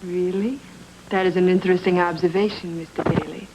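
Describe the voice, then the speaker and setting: An actress playing a poised, coolly flirtatious Latin American wife in a noir radio drama, speaking formally. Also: female voice, fairly low pitch, steady tone, background noise, close to an everyday voice. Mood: cool intrigue. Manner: formal, measured.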